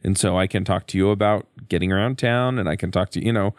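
A man's voice: deep voice, fast talker, smooth, dry recording, studio quality. A man hosting a podcast, chatting in an informal, conversational way. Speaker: podcast host. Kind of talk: casual podcast chat.

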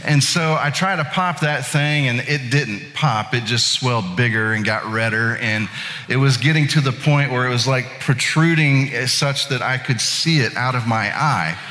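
Man, late thirties, from Indiana, pastor, folksy storytelling, bright tone, echoing church hall. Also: mid-range voice, normal speed. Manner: folksy, self-deprecating, chatty. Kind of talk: casual storytelling sermon. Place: church sanctuary. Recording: church hall with long reverb.

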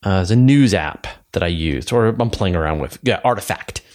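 Low-voiced man, casual podcast host. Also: animated, normal speed, a little mellow. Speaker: podcast host and science news publisher.

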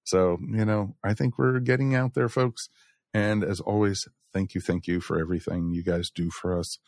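A man's voice: sits low, steady tone, moderate pace, darkish tone, no room sound, studio quality. A man hosting a podcast, chatting casually and addressing listeners in a folksy way. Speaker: podcast host.

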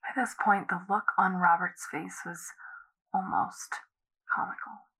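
An actress playing a young woman eerily entranced by an old house, speaking in a hushed, halting, dreamy way in an audio drama. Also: fairly low pitch, flat delivery, vocal fry, heavily acted, rough recording. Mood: hushed, drawn in. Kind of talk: intimate confessional audio drama monologue.

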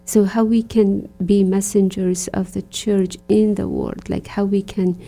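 Gravelly, dark-voiced woman from Iraq. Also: mid-range voice, flat delivery, measured pace. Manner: reflective, earnest, many fillers like "you know". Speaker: religious sister, guest. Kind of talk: conversational interview.

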